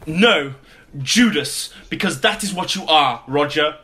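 An actor playing a pompous, fussy, excitable posh man who stammers, in a comedy audio drama. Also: fairly high pitch, measured pace, a little hiss or hum. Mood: indignant, flustered.

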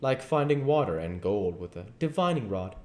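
A man in his thirties narrates a story in a low voice. He reads audiobook style at home, and the room adds clear echo. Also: big pitch swings, normal speed, darkish tone. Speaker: narrator reading a novel aloud. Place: living room at home, indoors. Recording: echoey room.

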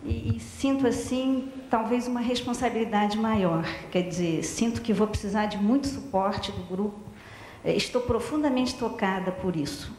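A woman's voice: mid-range voice, a little mellow, slight background noise. Brazilian woman asking from the audience. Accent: Brazilian Portuguese. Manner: halting, heartfelt, many fillers. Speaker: audience member, psychologist. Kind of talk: audience question. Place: large hall, audience. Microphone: handheld mic. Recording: roomy hall, long reverb.

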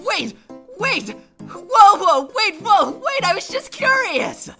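A woman voicing a small, frightened, soft-hearted monster child in a comic dub, pleading and excitable. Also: very high voice, slow pace, faint music behind, full character voice. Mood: panicked.

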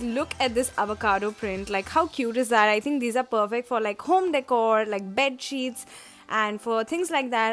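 A young woman talks to her viewers in a bright, fairly high voice, upbeat and chatty as she browses market stalls. She speaks close to a handheld camera, with soft music faintly underneath. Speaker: fashion vlogger. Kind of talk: casual shopping vlog. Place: outdoor street market and indoor shopping arcade.

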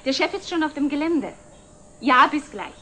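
An actress playing a brisk, composed secretary to a company director in an old television drama. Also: female voice, high voice, animated, background noise. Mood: brisk, efficient. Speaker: actor in a television series. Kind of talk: 1960s German television drama acting.

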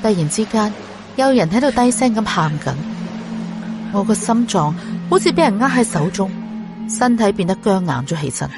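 A woman's voice: mid-range voice, very animated, moderate pace. A woman narrating a scripted audiobook story. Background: music.

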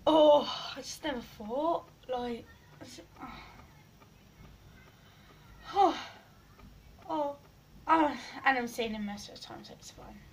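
A woman's voice: sits high, slow, creaky, long pauses, slight background noise. A teenage girl, losing her voice, chatting and rambling in a giggly selfie vlog in her bedroom. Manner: rambling, giggly, drifts loud and soft. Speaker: vlogger. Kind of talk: casual selfie vlog chat. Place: small bedroom indoors. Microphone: phone camera at arm's length.

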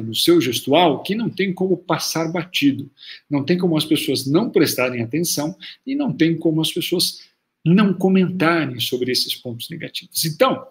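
A Brazilian man in his forties with a Brazilian Portuguese accent, teaching public speaking to camera in an online lesson, stressing points by repeating them. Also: a little high. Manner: emphatic, repeats key phrases for stress. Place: small room at home, indoors.

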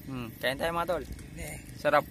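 Higher-voiced young man, noisy outdoors. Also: a little slow, bright, forceful tone. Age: young adult. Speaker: vlogger. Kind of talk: casual chat to camera. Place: outdoors at night, roadside by water. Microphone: handheld phone at arm's length. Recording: noisy outdoor phone recording.

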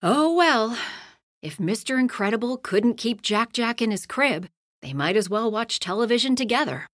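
A woman reading a children's picture book aloud, hushed at the bedtime lines and louder in the action. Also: a little high, no room sound, clean studio sound. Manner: slow, lively storytelling, hushed at bedtime lines and loud in action. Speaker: storybook reader.

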